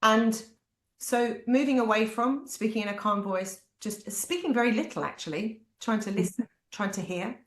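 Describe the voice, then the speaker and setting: A woman with a British accent, a parent giving candid, animated advice to other parents. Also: a little high, moderate pace. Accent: British English. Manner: animated, acts out quoted voices. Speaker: parent speaker. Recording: echoey room.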